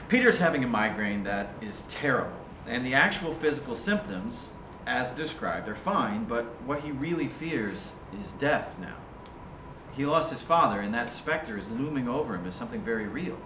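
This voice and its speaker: Guy playing a blunt bookish writer in a staged reading, really lively pitch, noisy echoey room. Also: natural voice. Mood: defensive, softening. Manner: precise, formal, bookish phrasing. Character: blunt, articulate male writer critiquing a younger writer's story. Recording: roomy, noisy live recording.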